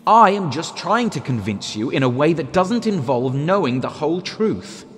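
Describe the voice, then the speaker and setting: An actor playing a recorded guiding Voice in a stage play, measured and instructive, cryptic and insistent. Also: male voice, a little high, sing-song, fairly bright tone. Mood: cryptic, persuasive. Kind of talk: one-man stage play dialogue. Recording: echoey room, music behind the voice.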